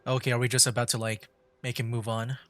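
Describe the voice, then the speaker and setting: A man giving casual live gameplay commentary as a streamer, hesitant and thinking out loud. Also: mid-range voice, level tone, fast, faint music behind. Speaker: streamer playing a game.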